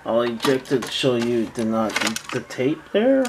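A man giving a casual, halting product demonstration as a seller, often repeating himself, recorded indoors on a handheld camera. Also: mid-range voice, very animated, background noise. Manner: halting, repetitive, plain-spoken. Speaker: online seller demonstrating an item. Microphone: handheld camera, speaker off screen behind it.

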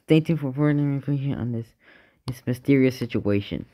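Male amateur alert announcer, medium pitch, formal bulletin wording with a stumbling, self-correcting delivery. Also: fairly quick, warm tone. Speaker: narrator of fictional emergency alerts. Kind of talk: improvised mock emergency broadcast announcement.

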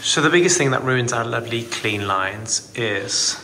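A man's voice: medium pitch, moderate pace, heavy background noise. A man in his thirties chatting playfully to camera about renovating an old house. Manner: chatty and playful, laughs often. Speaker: home renovation vlogger. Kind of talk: casual chat to camera. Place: bare rooms of an old house under renovation. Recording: echoey empty room, background music.

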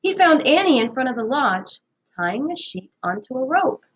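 A woman reading a children's story aloud in an expressive, animated way. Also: high voice, moderate pace, slightly rough, lo-fi sound. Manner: animated, acts out characters' dialogue. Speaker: read-aloud narrator.

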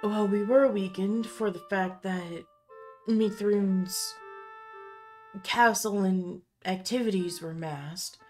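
A woman voicing a proud, defensive goddess in a fantasy audio drama, with measured delivery. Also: mid-range voice, a little mellow, natural voice. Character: proud goddess sharing a mortal's body, defensive. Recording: music bed under voice.

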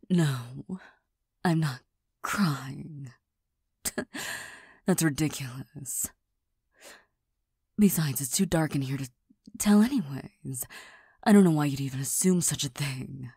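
A woman voicing a proud, touchy young girlfriend who hides her tenderness, speaking in a soft half-whisper with stammers and nervous laughs into a close mic. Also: low-pitched, slow pace, pauses often, breathy edge, dry recording, heavily acted. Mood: vulnerable, defensive. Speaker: voice actor in a listener roleplay. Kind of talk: whispered girlfriend comfort roleplay.

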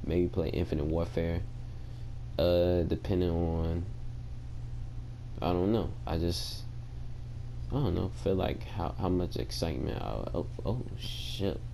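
A tired young man with a gravelly voice, chatting casually to his viewers in laid-back slang while commenting on his gameplay. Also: sits low, steady tone, measured pace, long pauses, dark tone, a little hiss or hum. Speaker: gaming channel host. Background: game music.

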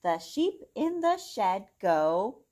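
A young adult woman hosting a children's read-along, reading a picture book aloud in an exaggerated, animated manner for small children. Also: medium pitch, slow. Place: small indoor room with bookshelf.

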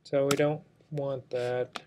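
A man's voice: medium pitch, little pitch movement, takes it slowly, dark tone, a little hiss or hum. A man narrating an unscripted screen tutorial as an instructor, explaining things step by step. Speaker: software instructor. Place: indoors, screen recording voice-over.

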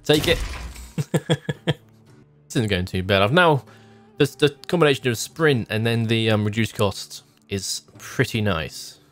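A man's voice: on the low side, a little slow. A man in his thirties giving casual gameplay commentary as a streamer, thinking aloud in short, musing remarks. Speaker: game streamer. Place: small home room, indoors. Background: background music.

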